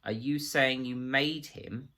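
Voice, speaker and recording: Man acting a coldly polite, bright-voiced official interrogator. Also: medium pitch, fairly even intonation, slow pace, pitched above the performer's own voice. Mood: quietly disdainful. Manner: light, conversational, precise, formal questioning.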